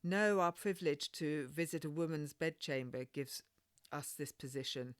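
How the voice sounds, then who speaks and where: A woman's voice: low voice, moderate pace, smooth, dry recording, natural voice. A proud, pious midwife, as a woman reader plays her, speaks firmly and admonishes in old-fashioned phrases. It is a storybook voice, recorded dry and close. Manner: firm, admonishing, archaic phrasing.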